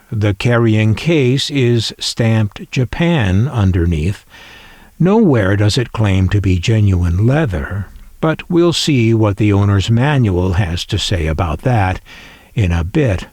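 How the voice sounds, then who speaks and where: Slow, dry-voiced man showing off a collectible. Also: on the low side, sing-song, darkish tone, no echo. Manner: dry, wry asides while reading aloud from a manual. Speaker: collector video host. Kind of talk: unhurried descriptive show-and-tell.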